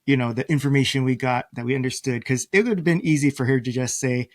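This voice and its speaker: A man's voice: medium pitch, fast talker, dry recording, studio quality. Appreciative male podcast host, casual and conversational. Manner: appreciative, complimentary.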